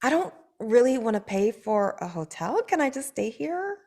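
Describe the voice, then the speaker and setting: A woman reading her lines at a script table read, dry and blunt, with quick comebacks. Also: fairly high pitch, very animated, mellow.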